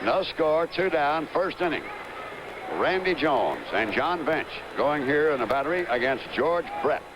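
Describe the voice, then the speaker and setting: A man doing play-by-play on a live baseball game, steadily calling each pitch and reeling off player statistics. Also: unhurried. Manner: steady, stat-heavy calling of the action. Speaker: play-by-play announcer. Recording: noisy 1970s broadcast recording.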